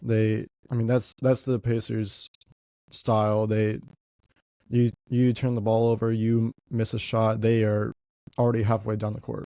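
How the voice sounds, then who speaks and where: A man's voice: lowish voice, monotone, mellow, no echo, clean studio sound. Stuffy-voiced young man talking basketball. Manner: halting, repeats words often. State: congested, stuffy. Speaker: podcast host. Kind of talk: casual basketball commentary.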